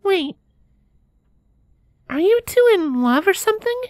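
A woman's voice: very high-pitched, big pitch swings, slow, a little mellow, no room sound, studio quality, exaggerated voice. A woman voicing a curious, innocent young filly pony with a childlike, blunt way of asking, in a fan fiction reading.

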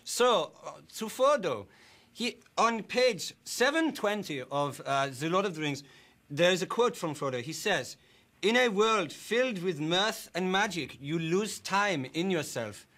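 A man playing a nervous, bumbling German interviewer who speaks halting broken English with a German accent. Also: high-pitched, sing-song, sharp tone, full character voice. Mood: awkward. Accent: German (put on). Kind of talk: prank interview.

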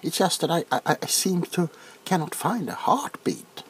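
A middle-aged man playing a nervous, bewildered, awkwardly polite doctor in a soft-spoken comedic ASMR roleplay, stammering and repeating himself. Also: on the high side, sing-song, moderate pace, husky, voice pushed up. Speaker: ASMR performer.

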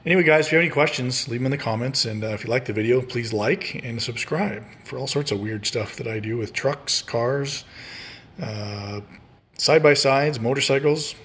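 A man's voice: mid-range voice, normal speed, a bit bright. A man talking informally and in a laid-back way as he explains a hands-on repair for his DIY channel, recorded indoors on a handheld camera.

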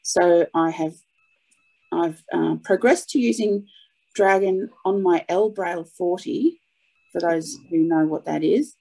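Woman, webinar participant, husky and dark-toned, casual first-hand account. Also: fairly low pitch, measured pace, low-quality recording. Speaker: webinar participant, audio typist. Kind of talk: casual spoken account of own experience.

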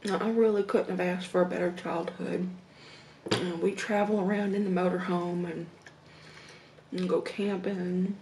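A Southern woman in her thirties talks at an unhurried, drawn-out pace, telling stories with soft hums between thoughts. She sits at her own table, picked up from a little way off by the camera. The room rings back with a noticeable echo, over a faint steady hum. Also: lowish voice. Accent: Southern American. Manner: slow, reflective, with hums and soft laughs between bites. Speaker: mukbang vlogger. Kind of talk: casual storytelling while eating. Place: home dining room, indoors.

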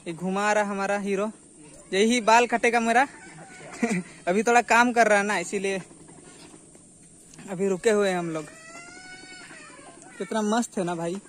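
A young male vlogger speaks in a distinctly high voice. He chats informally to the camera and tends to repeat himself. The sound is an outdoor phone recording with faint noise behind it. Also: fairly even intonation, unhurried, long pauses, a bit bright. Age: young adult. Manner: informal, repetitive, addresses viewers as friends. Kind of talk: casual vlog chat to camera. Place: outdoors in a village yard under trees. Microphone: phone camera at arm's length.

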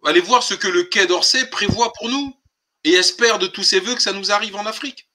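A man's voice: high-pitched, brisk, sharp tone, a little hiss or hum. A man hosting a political live stream, talking colloquially and insistently, with emphatic rhetorical questions. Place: online group call.

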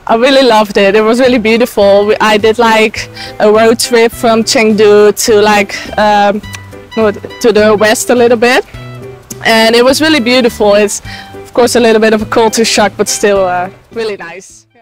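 A woman's voice: fairly high pitch, fairly even intonation, normal speed, rough recording. A woman giving casual answers in an outdoor street interview. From Netherlands. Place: open city square outdoors. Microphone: handheld furry interview mic. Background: music.

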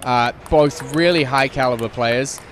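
A man's voice: medium pitch, unhurried, slight background noise. Man from New Zealand recapping matches. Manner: relaxed, filler-heavy recap. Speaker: media director and commentator. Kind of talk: live sports show analysis. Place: studio set at a convention center. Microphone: handheld mic.

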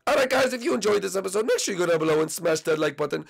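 An excitable cartoon game hero, acted out by a man, with a high voice that swings widely. He is boastful and possessive, repeats himself and gets whiny when thwarted, with some creak in the sound. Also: rapid-fire, exaggerated voice. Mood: upbeat. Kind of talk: improvised skit voice acting.

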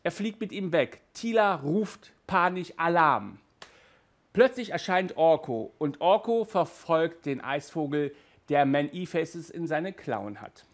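A man in his thirties with a fairly high voice, hosting a casual review from a small room. He talks in a lively, restless way, stumbling and restarting, and sounds hot and flustered by the heat. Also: takes it slowly. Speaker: audio drama reviewer, host. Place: small indoor room with toy shelves.

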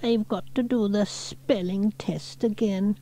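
Guy voicing a grumbly little schoolboy, mischievous, high voice, kids story. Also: fairly even intonation, takes it slowly, dark tone. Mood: grumbling. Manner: mumbling, grumbling. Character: reluctant, mischievous young schoolboy.

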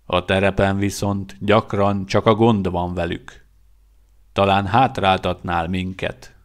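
A man voicing a seasoned male vampire who talks slowly, in a measured and dry way. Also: deep voice, little pitch movement, close to an everyday voice. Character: seasoned male vampire, gruff but warm-hearted.

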